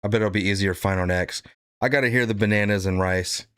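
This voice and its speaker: A man's voice: fairly low pitch, steady tone, quick pace, a bit bright, smooth, dry recording, studio quality. A man hosting casual political reaction commentary, blunt and profane, rambling with frequent "right?" tags.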